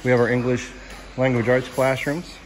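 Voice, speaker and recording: A man's voice: mid-range voice, little pitch movement, normal speed, poor audio. A man giving an unscripted, matter-of-fact walking tour as a school superintendent, explaining things as he goes, recorded on a handheld phone indoors. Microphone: handheld phone held by the speaker while filming. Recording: loud construction site noise. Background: loud building-site noise.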